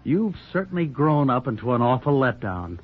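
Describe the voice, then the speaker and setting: An actor playing a plainspoken, wounded young drugstore owner in 1940s radio drama style. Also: male voice, medium pitch, big pitch swings, moderate pace, husky. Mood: bitter, reproachful. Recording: muffled, tinny 1940s radio recording.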